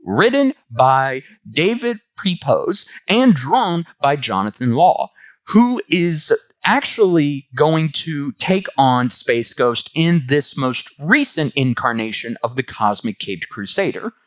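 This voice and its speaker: A man's voice: a little high, big pitch swings, slow pace, no room sound. A man hosting a comic book review, giving enthusiastic, semi-scripted commentary.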